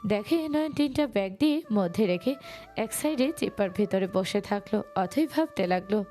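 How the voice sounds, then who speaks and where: A woman's voice: mid-range voice, lively intonation, moderate pace, dark tone, faint music behind. A woman reading scripted narration for a romantic audio story.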